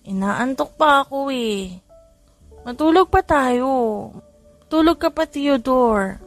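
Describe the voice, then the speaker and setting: A woman's voice: high voice, lively intonation, slow, voice pushed up, heavily acted. A Filipino woman playing a shy, easily flustered young mother in an audiobook romance, tender and hesitant. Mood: sleepy. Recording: music under the voice.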